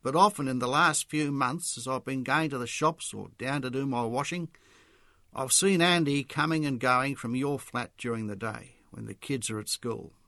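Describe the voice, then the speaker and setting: Male narrator as older Australian neighbour woman, storybook character, motherly, sad, gentle, reluctant. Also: mid-range voice, moderate pace, no echo, studio quality. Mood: sad, sympathetic.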